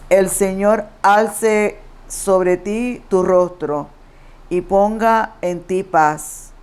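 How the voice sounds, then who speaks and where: A woman's voice: mid-range voice, a little mellow, clear, resonant tone. A woman from Puerto Rico with a Puerto Rican Spanish accent, reading Bible verses aloud and answering her study partner with short agreements. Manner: affirming, reads aloud slowly. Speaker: co-host reading scripture.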